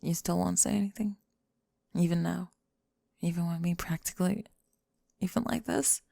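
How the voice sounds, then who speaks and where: A young woman acts out a flustered, guarded female college student in an ASMR roleplay. Her voice is on the low side with plenty of creak, often sinking into soft whispers. She talks haltingly and self-consciously, very close and dry on the mic. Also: fairly even intonation, dry recording. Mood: vulnerable, hesitant. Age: young adult. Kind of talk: ASMR roleplay, scripted intimate monologue. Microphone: close microphone, dry.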